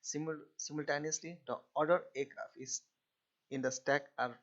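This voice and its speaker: A man giving an explanatory technical lecture as a lecturer, in halting, repetitive phrasing with restarts. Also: fairly high pitch, steady tone, a bit bright. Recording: dull, lo-fi recording.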